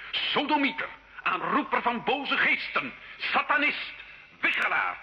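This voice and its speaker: A man's voice: high voice, sharp tone, exaggerated voice. An actor in an old radio drama playing a stern, solemn church inquisitor, formally declaiming his accusations. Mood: solemn, condemning. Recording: hall-like echo, dull old radio recording.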